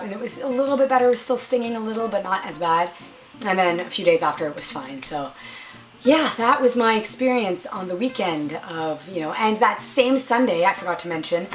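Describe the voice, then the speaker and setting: A young woman with a raspy voice, chatting casually to camera as a vlogger and filling her stories with "like". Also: lowish voice, low-quality recording. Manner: chatty, lots of "like" and quoted dialogue.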